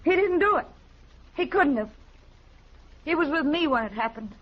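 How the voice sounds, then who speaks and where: High-voiced, pleading frontier woman. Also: animated, moderate pace. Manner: earnest, pleading. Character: earnest frontier woman pleading for her man. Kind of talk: 1950s radio drama acting. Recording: dull, noisy 1950s radio recording.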